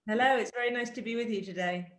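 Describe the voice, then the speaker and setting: A woman's voice: fairly high pitch, quick pace. Chatty English woman in an echoey room. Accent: mixed English, Yorkshire and Cornish. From England. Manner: chatty, reflective, with many fillers and restarts. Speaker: author and interview guest. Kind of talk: casual conversation over video call. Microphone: video call.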